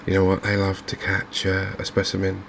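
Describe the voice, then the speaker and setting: Man, softly spoken ASMR game commentary, flat intonation, creaky low end, unhurried, faint background noise. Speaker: gaming video host.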